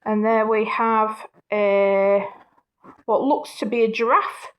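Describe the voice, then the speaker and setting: A woman reading a children's picture book aloud in an animated way, with casual, playful, apologetic asides. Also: a little high, slow pace, rough recording. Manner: playful, self-interrupting, apologetic.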